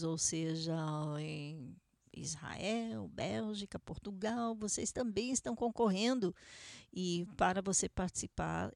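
A woman's voice: fairly low pitch, creaky, a little mellow, no room sound, clean studio sound. A woman hosting a radio program, announcing in a casual, conversational way.

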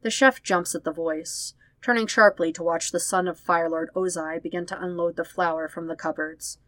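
Female podfic narrator, lower-pitched voice, very dry close sound. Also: steady tone, normal speed, fairly bright tone, no echo. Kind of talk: scripted fan fiction narration.

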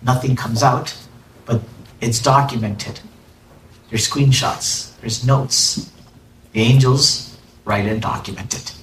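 A man's voice: medium pitch, takes it slowly, pauses often. A man giving a religious lesson, reciting Arabic quotations and explaining them in a casual, conversational way. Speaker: religious teacher. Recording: echoey room, distant mic, faint noise.

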